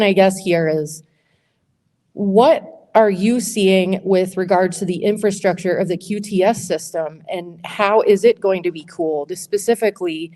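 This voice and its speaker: A woman with a loud, carrying voice speaks at a public meeting in a school auditorium. She works through prepared questions one by one in an orderly, steady way. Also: medium pitch. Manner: loud, clear, methodical questions. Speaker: state senate candidate.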